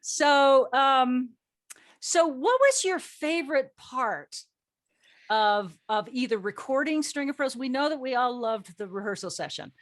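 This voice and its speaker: A woman's voice: sits high, animated, unhurried, dry recording. A woman speaking as an actress and host in a casual group discussion, chatty and given to anecdotes. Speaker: audio drama actress and panel host.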